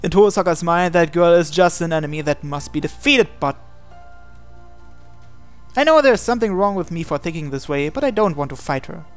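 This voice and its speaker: A man's voice: on the high side, lively intonation, fairly quick, clean tone, soft background music. A man hosting a game video, reading visual novel text aloud and adding his own asides. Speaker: gaming video host.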